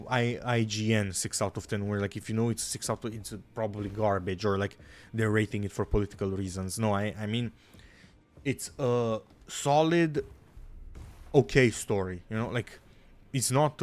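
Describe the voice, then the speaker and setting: Rambling young man, swearing. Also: lowish voice. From Romania. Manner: rambling, swears a lot, says "bro" often. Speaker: gaming streamer. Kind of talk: casual gaming chat.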